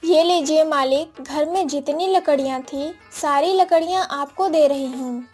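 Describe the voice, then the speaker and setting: कार्टून कहानी में गरीब लकड़हारे की विनम्र, ज़िम्मेदार जवान बेटी का किरदार निभाती एक महिला।. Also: female voice, high voice, unhurried, darkish tone, faint music behind. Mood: earnest, caring. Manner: polite, earnest. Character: dutiful, polite young village daughter of poor woodcutter. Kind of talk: cartoon moral story acting.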